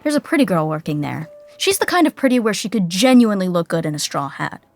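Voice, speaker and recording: A woman's voice: on the low side, very animated, fast, background noise. A woman playing the wry narrator of a scripted sitcom.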